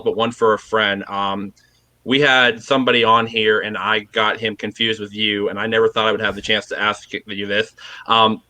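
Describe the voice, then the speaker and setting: A man's voice: on the low side, bright, forceful tone. A man calling in as a fan, asking questions politely with many ums. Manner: polite, lots of ums, nervous. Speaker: fan caller. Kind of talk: casual video call question.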